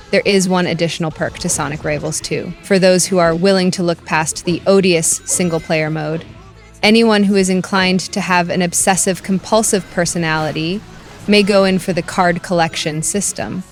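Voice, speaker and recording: A woman reading a scripted video game review in a dry, critical, wry manner. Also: fairly low pitch.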